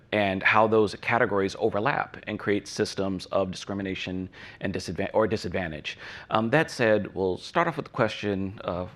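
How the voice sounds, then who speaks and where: A low-voiced man moderates a panel discussion. He speaks hesitantly, leaning on frequent ums while reading prepared remarks. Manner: hesitant, many ums, reads prepared text.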